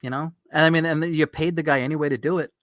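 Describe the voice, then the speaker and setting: A man's voice: fairly high pitch, steady tone, quick pace, a bit bright, no room sound, clean studio sound. A man co-hosting a podcast in casual chat, talking in an informal, conversational way. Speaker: podcast co-host.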